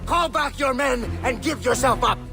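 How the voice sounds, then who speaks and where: An actor playing a domineering military commander turned villain in a video game, forceful and threatening. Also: male voice, extremely high pitch, normal speed, creaky, music in the background. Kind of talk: dramatic video game acting.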